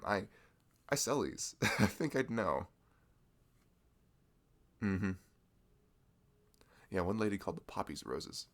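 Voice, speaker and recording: Deep gravelly man, chatty and giggly. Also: fairly bright tone. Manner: chatty, giggly, friendly shopkeeper talk. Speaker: ASMR roleplay creator. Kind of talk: scripted audio roleplay, chatty.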